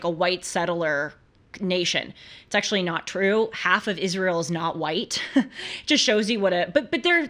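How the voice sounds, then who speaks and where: A woman's voice: lowish voice, a bit bright. An American woman hosting a talk show, giving conversational political commentary in an earnest, emphatic way full of rhetorical questions.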